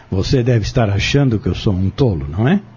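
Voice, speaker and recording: A man's voice: lowish voice, sing-song, moderate pace, darkish tone, dull audio, slight background noise. An actor in an audio drama playing an insistent, scheming man who goads and persuades in formal wording, bent on exposing a corrupt family. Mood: goading.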